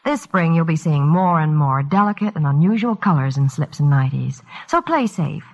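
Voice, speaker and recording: A woman's voice: deep voice, animated, moderate pace. A woman reading a scripted old-time radio commercial with a sales delivery. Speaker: commercial announcer. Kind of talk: 1940s-50s radio ad read. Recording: dull old radio broadcast.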